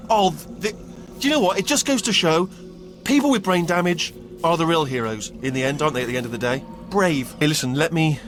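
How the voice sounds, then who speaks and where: An actor with an English West Country accent playing a chatty, bumbling, panicky robot sidekick in a game, rambling and stammering. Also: male voice, fairly high pitch, lightly acted. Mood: delighted, then earnest, awkward. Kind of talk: comedic improvised-sounding game acting.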